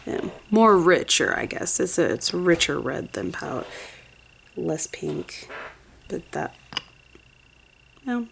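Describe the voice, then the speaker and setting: A woman's voice: low-pitched, vocal fry, slight background noise. A woman in her thirties, congested, chatting casually as she swatches and compares nail polish, often dropping to a whisper while she works. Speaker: nail art vlogger.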